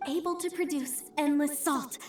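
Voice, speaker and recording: A woman's voice: high voice, moderate pace, lightly acted. A woman playing an earnest, proper young lady scholar in a video game. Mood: curious, impressed. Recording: echoey distant game audio, faint music.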